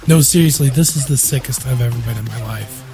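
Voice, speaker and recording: A man co-hosting a casual podcast, his voice husky while recovering from an illness, joking crudely and loosely with friends. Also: mid-range voice, quick pace, warm tone, faint music behind. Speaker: podcast co-host.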